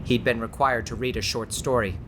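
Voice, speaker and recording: A man's voice: medium pitch, steady tone, brisk, background noise. A man reading an audiobook as dramatic scripted narration.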